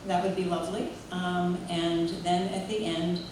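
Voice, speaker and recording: A woman giving a warm, chatty tribute at a memorial gathering, telling stories and leading the room in song. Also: lowish voice, little pitch movement, normal speed. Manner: warm, chatty, leads group singing. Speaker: memorial speaker and singer. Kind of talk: heartfelt spoken tribute. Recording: echoey hall from far off, noisy room.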